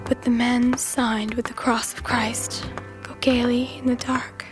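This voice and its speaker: A woman playing a brave, curious young woman in an audio drama, thinking aloud in hushed, breathy tones. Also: fairly high pitch, little pitch movement, unhurried, lightly acted. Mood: hushed, wary. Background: music.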